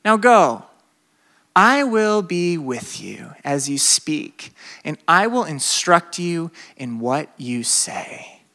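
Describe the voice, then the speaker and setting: A young adult man, a pastor with a slight speech impediment, preaching a lively sermon to students, swinging from loud bursts to hushed stretches. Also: on the high side. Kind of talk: sermon to a college crowd.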